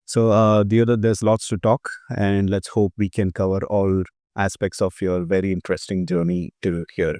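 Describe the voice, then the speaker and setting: A man's voice: low voice, flat delivery, moderate pace, warm tone, no echo, clean studio sound. A middle-aged man hosting an interview, politely welcoming his guest and asking questions with some hesitant ums. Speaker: host.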